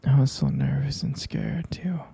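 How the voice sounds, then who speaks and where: A man acts out a drowsy, tender vampire boyfriend in a bedtime ASMR roleplay. His voice is very breathy and husky, crackling with heavy fry. He speaks slowly and casually, with hesitant, intimate murmurs. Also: mid-range voice, huge pitch swings, warm tone, no echo, exaggerated voice. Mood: fond, reminiscing. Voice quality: breathy, gravelly half-whisper. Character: gentle, sleepy vampire boyfriend comforting his partner at bedtime.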